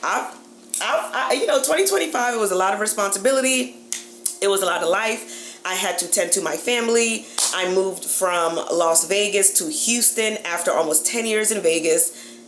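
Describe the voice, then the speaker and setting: A woman, giving casual, emphatic, sisterly advice in a colloquial vlog style with drawn-out words. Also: medium pitch, fairly bright tone. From Jamaica-born, grew up in the Bronx, New York. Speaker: YouTube host, dating and lifestyle coach. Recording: echoey room with clear background noise.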